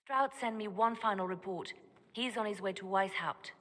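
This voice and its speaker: An actress playing a composed, secretive spymaster advisor in a video game, measured and serious, with long hall reverb. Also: female voice, medium pitch, fairly bright tone, lightly acted.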